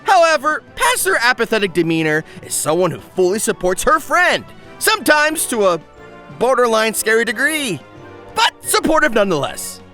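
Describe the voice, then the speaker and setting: A man's voice: very high-pitched, big pitch swings, moderate pace, sharp tone, soft background music. A man narrating a scripted comedic anime review in a wry, exaggerated, joking way. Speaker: video essay narrator.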